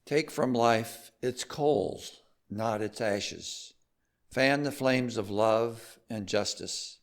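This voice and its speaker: A man with a fairly low voice reads a short devotional text aloud. He takes it very slowly, as a lay reader in a service. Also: gravelly. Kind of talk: reading a chalice lighting aloud.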